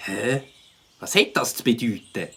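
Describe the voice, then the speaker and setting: A trusting, easygoing young boy on a bike adventure, played by a woman. The voice moves in big swings of pitch, with clear birdsong and outdoor sound behind it, as in a kids' audio drama. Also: expressive, measured pace, a little hiss or hum. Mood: puzzled. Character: trusting, easygoing young male biker buddy.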